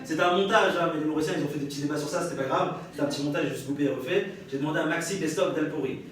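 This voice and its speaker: A man's voice: fairly high pitch, rapid-fire, a bit bright. A young man with a French accent doing live stand-up storytelling, chatting and bantering with the audience and laughing often. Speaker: stand-up comedian. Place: live comedy stage before an audience. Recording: echoey live room, distant mic, audience noise.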